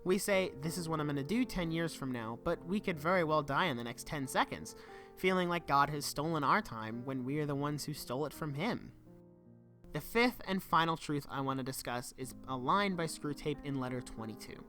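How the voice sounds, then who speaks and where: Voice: mid-range voice, lively intonation, normal speed, a bit bright. A young adult giving an earnest, reflective scripted narration for a video essay. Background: background music.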